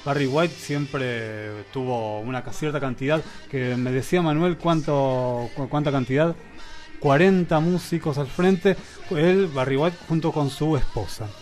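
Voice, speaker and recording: Man, middle pitch, halting radio chat, reminiscing, faint music behind. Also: darkish tone. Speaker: guest music archivist on a radio show. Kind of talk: casual radio conversation about old songs. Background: music playing behind the voice.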